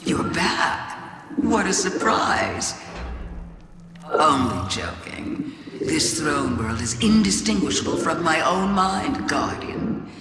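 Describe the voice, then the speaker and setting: Alien sorceress queen for a video game. Grand, mocking, drawn-out delivery over loud music. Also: sits low, sing-song, exaggerated voice. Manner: slow, taunting, deliberate. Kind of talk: theatrical video game villain acting. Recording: echoing voice under loud music.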